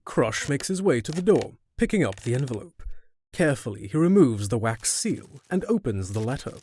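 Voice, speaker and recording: A man's voice: mid-range voice, big pitch swings, normal speed, darkish tone, husky. A man narrating a scripted fantasy audio drama in a descriptive storytelling manner.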